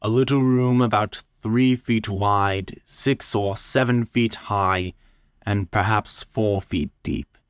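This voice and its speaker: A man reading a story as dramatic scripted narration, in a measured, deliberate manner. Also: lowish voice, fairly even intonation, smooth, no room sound, studio quality. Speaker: story reader.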